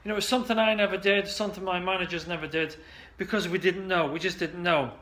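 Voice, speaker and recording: Upbeat man pitching to camera. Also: fairly even intonation, fast, fairly bright tone. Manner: chatty and upbeat, with many restarts and "uh"s. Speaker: salon marketing coach. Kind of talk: casual direct-to-camera pitch. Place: indoor studio office.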